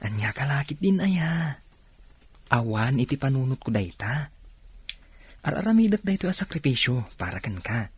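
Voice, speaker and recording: An actor playing an ardent young Filipino boyfriend in a radio drama, with a husky, breathy voice and an Ilocano accent. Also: male voice, medium pitch, very animated, moderate pace, pauses often, no echo. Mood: earnest, reassuring.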